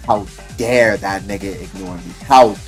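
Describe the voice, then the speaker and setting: A man's voice: low-pitched, unhurried, gravelly, soft background music, voice pushed down. A man voicing an arrogant, easily angered ancient beast fighter in boastful slang for a game retelling. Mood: indignant.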